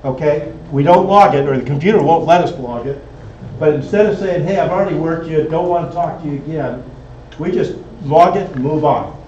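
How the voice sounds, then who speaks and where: A man's voice: fairly high pitch, a little fast, a little mellow. A man instructing a group in practical, explanatory fashion, often checking that they follow. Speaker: instructor at an amateur radio club. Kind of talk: hands-on group teaching, explaining to a room. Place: indoor club meeting room. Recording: echoey room, distant dull mic, faint noise.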